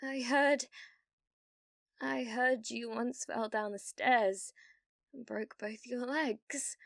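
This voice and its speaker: A delusional young British gamer girl, acted by a woman for an ASMR roleplay. Her voice is fairly high and very close and dry. She speaks slowly, with a needy, obsessive sweetness that carries menace. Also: steady tone, fairly bright tone, dry recording, full character voice. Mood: needy, awed. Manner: breathy giggles, slow dreamy delivery with sudden intense bursts. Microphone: close studio-style mic, dry.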